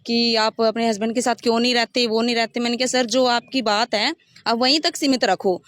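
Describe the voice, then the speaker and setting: A woman's voice: fairly high pitch, fairly even intonation, fairly quick, a bit bright, dry recording. A woman giving a detailed, step-by-step personal account in an interview. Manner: rambling, detailed step-by-step recounting. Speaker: interviewee recounting her complaint.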